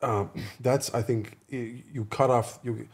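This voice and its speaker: A man talking casually as a podcast guest, in a halting way, often correcting himself as he goes. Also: mid-range voice, fairly even intonation, a little mellow. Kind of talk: casual conversational podcast discussion.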